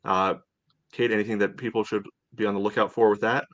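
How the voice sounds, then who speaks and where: A man with a fairly low voice hosts a casual sports podcast. His delivery is halting and meandering, padded with filler phrases. The sound is dry, with very little room in it. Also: flat delivery, no echo. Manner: halting, meandering delivery with frequent "you know". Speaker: podcast host.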